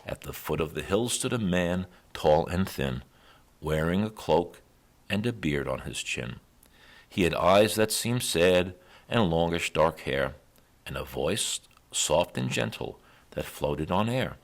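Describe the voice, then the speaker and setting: A man reading a rhymed children's story aloud as a storyteller, with measured, expressive rhythm. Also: low voice. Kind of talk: children's read-aloud, rhymed scripted narration.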